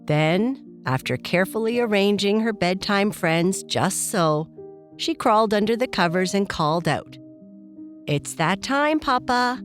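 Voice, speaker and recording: A woman reading a scripted children's bedtime story as narrator, in a gentle, unhurried manner. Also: mid-range voice, faint music behind.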